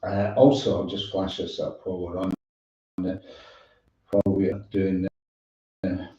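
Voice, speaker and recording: Mellow male host in an echoey room. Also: low voice, flat delivery, measured pace. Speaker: music show host. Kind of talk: casual live online show hosting. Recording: muffled, echoey, far from mic.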